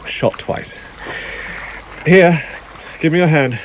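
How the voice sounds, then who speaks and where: An actor playing a protective husband in a radio drama, with a dry, understated, ironic manner. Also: male voice, on the high side, takes it slowly. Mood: grim, wry. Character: protective, wry husband, steady in disaster. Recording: noisy outdoor boat and water sound effects.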